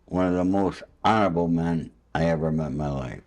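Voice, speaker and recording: An older male interviewer with a fairly low voice. He talks casually and off the cuff, drifting into anecdotes. His manner is rambling and a little boastful. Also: brisk, a little mellow. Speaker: interviewer and host. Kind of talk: spontaneous casual conversational interview.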